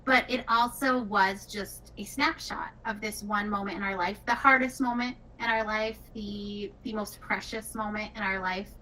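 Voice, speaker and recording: A woman's voice: mid-range voice, fairly even intonation, moderate pace, hardly any pauses, pushed and bright. A woman talking casually on a panel as a game writer and director. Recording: distant mic with faint noise.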